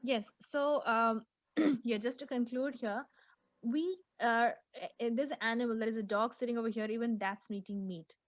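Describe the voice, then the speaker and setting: A woman teaching an online class over a video call, speaking haltingly with many fillers and restarts. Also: fairly high pitch, fairly even intonation, dry recording, highs cut off.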